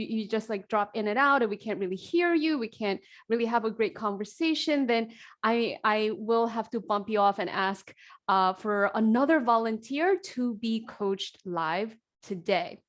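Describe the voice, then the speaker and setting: A woman in her forties, an executive coach hosting an online group coaching call, talking in an energetic, conversational way with many fillers. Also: a little high. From South Korea, raised in New Jersey. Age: 40s.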